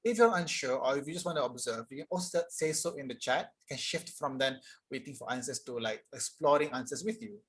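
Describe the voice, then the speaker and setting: A young man teaching an English grammar lesson live online, explaining casually and reading sentences aloud slowly. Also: mid-range voice, animated, a bit bright, breathy edge. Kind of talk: live online tutorial reading chat answers.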